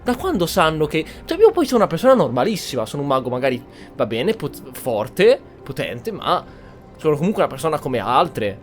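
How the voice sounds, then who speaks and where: An Italian man with a fairly high, very lively voice commentates casually on a game. He talks colloquially and incredulously, swearing freely, with some music behind him. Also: fast talker. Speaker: gaming streamer. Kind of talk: casual roleplay commentary over a video game.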